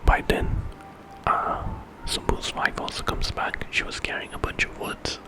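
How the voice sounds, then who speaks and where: A man telling a bedtime story in ASMR style, in a breathy whisper and an informal, conversational way. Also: unhurried, poor audio. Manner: informal retelling with quoted dialogue.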